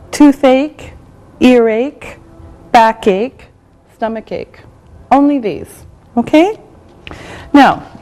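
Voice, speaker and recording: Woman teacher, slightly high voice, very slow and deliberate, repeats every phrase. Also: warm tone. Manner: slow, drawn-out repetition of words. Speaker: English teacher. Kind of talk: slow classroom vocabulary lesson.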